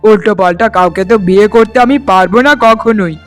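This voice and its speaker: A man's voice: sits high, steady tone, fast talker, fairly bright tone, faint music behind. A man playing an earnest, stubborn young shepherd in love, speaking in a flowery, pleading way in a fairytale cartoon. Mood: insistent, lovestruck. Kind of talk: Bengali fairytale cartoon dialogue.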